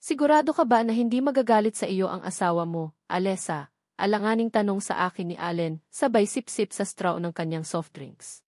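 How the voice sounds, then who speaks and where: A woman's voice: mid-range voice, a little mellow, no room sound, studio quality. A woman reading a first-person romance novel as a scripted story narration, with acted dialogue. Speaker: audiobook narrator.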